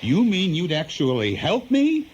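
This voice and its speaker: Stranded epic hero; fairly high, animated voice, wary yet earnest, halting radio drama delivery. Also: male voice, breathy edge, highs cut off, heavily acted. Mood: wary, humble. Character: wary, stout-hearted stranded male hero, humble before goddess. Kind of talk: 1970s radio play acting.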